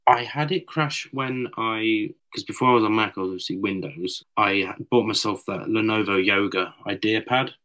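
A man with a low voice and a bright, forceful edge talks casually about his photo shoots. He sounds slightly croaky today and fills pauses with ums as he explains. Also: low-quality recording. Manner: chatty, uses fillers. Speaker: photographer, podcast co-host. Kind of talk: casual chat.

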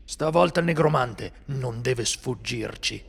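A man's voice: mid-range voice, unhurried, gravelly, husky, in a large hall. An actor playing a bold male warrior leader in an Italian audio drama, commanding and brash.

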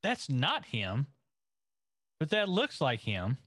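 A man co-hosting a podcast, chatting about movies with wry jokes and animated reactions. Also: medium pitch, takes it slowly, slightly rough, no room sound, clean studio sound. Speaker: podcast co-host. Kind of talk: casual chat about movies.

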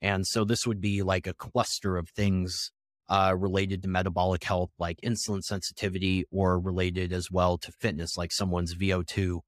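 A man around thirty speaking as a podcast guest, explaining research in a measured, conversational way with pauses between phrases. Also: sits low, little pitch movement, no echo, clean studio sound. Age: 30s.

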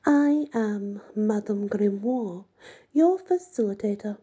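A woman's voice: medium pitch, takes it slowly, dark tone, breathy edge. A woman voicing a prim middle-aged female group facilitator in an audiobook, gushing with over-sweet, formal false cheer. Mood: formal announcing.

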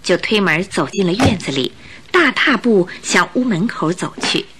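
A woman narrating a radio drama in Chinese, in an expressive, scripted storytelling style. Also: medium pitch, breathy edge.

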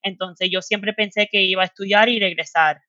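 A young woman from Miami, a native English speaker, answering interview questions haltingly as she searches for words and mixes in English terms. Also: mid-range voice, fairly even intonation, sharp tone, dry recording, highs cut off. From Miami, United States, Cuban family. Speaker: podcast guest.

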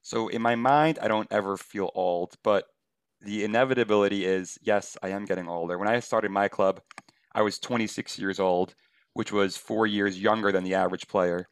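Low-voiced man in his thirties hosting. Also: steady tone, moderate pace, clear, resonant tone, no room sound, studio quality. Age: 30s. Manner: curious, laughs along with guest. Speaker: podcast host, league founder. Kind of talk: casual podcast interview.

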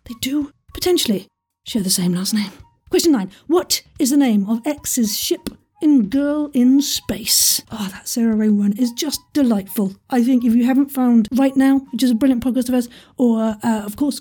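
A woman's voice: a little high, mellow, husky. A woman hosting an informal quiz podcast, chatty and jokey, rambling off into asides and interrupting herself. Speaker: podcast host and quizmaster.

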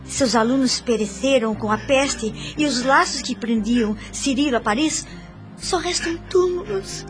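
A dutiful young daughter in a radio soap. She is composed, formal and solemn, with a fairly high voice and faint music behind. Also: measured pace. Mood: melancholy. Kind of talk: radio soap opera acting.

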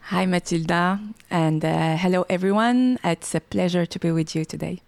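A woman's voice: on the low side, normal speed, vocal fry, a little mellow, dry recording. A woman, answering interview questions hesitantly with many fillers and restarts. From Morocco. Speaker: podcast guest, startup programs director.

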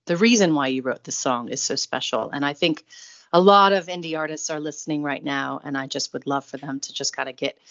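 A woman's voice: low voice, no echo, lo-fi sound. A woman hosting a music show in a casual interview style, with a gushing, admiring manner.

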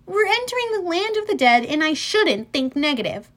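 A woman's voice: very high voice, very animated, moderate pace, fairly bright tone, voice pushed up, exaggerated voice. A woman voicing a plucky, earnest teenage girl adventurer with a pointed way of talking, as in an audiobook. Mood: sceptical.

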